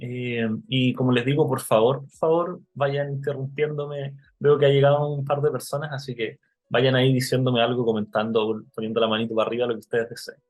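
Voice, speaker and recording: A man's voice: mid-range voice, level tone, brisk, darkish tone, low-quality recording. A young man from Chile, with a Chilean accent, giving a live online talk about his art and stopping often with fillers and restarts. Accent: Chilean Spanish. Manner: hesitant, many fillers and restarts.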